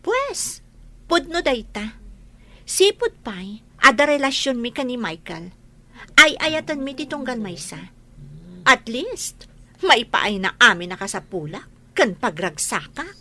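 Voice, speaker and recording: A woman's voice: fairly high pitch, sing-song, unhurried, heavily acted. A woman playing a scornful, cutting unfaithful wife, taunting in a radio drama. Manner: taunting, sharp.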